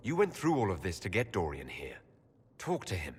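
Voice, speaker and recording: A man playing a diplomatic elf leader in a fantasy game, measured, probing and direct. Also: low-pitched, huge pitch swings, a little hiss or hum. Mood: dry, direct. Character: calm, diplomatic male elf leader of an inquisition. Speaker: voice actor in a fantasy video game. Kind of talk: dramatic game cutscene dialogue. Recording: long hall reverb.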